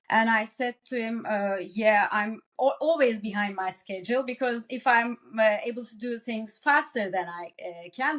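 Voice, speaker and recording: A woman from Turkey with a Turkish accent, giving conversational interview answers as a psychiatrist, often halting and repeating herself as she searches for words. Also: medium pitch. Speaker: psychiatrist, podcast guest.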